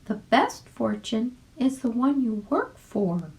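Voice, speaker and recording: A tiny storybook red ant, squeaky and high. The pitch bounces around with a crackly edge. She is polite, prim and full of practical sense. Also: big pitch swings, slow, a little mellow, heavily acted. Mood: advising.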